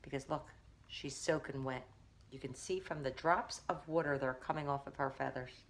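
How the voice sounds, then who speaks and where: A woman's voice: a bass voice, fairly even intonation, normal speed. A woman teacher reading a picture book aloud, stopping to explain things to young children.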